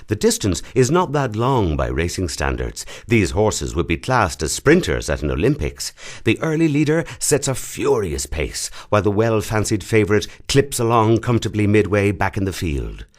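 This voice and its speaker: A man reading a literary short story as a scripted narration, in a measured, dramatic storytelling delivery, recorded in a studio. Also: lowish voice, big pitch swings.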